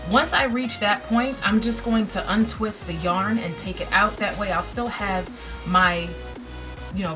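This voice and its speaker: A woman's voice: lowish voice, recorded from a distance. A woman in her thirties vlogging casually to camera in her bedroom, with a projecting voice. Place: dim bedroom with string lights. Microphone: built-in camera mic.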